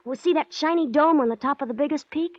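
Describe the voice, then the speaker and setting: A woman's voice: sits high, fast talker, no echo, natural voice. A woman playing an earnest, secretive small-town American boy with hesitant kid slang in a 1940s radio drama. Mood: earnest, worried. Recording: dull old 1940s radio recording.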